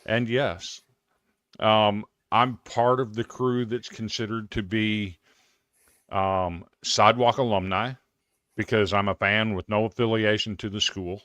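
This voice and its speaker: Sports fan guest, American man, fifties. Low, clean voice, casual storyteller. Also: level tone, a little slow, fairly bright tone, dry recording. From Iowa, United States. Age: 50s.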